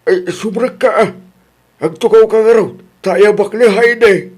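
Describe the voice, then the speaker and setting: A man's voice: high-pitched, measured pace, a little mellow, slightly rough. A man acting a patient older father who gives advice in a Filipino radio drama. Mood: welcoming. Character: older father, a calm, advising Filipino grandfather.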